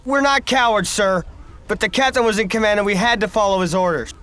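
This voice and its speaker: A man's voice: high voice, brisk, a bit bright. A man playing an earnest, formal soldier in a sci-fi game, with a stiff military manner. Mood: pleading, earnest. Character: earnest, formal, high-voiced male soldier. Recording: music behind the voice.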